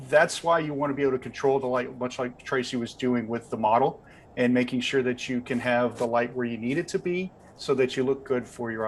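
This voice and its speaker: A man's voice: mid-range voice, a little hiss or hum, rough recording. A man casually explaining equipment as a lighting company representative, speaking indoors on an online call. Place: indoors beside a window.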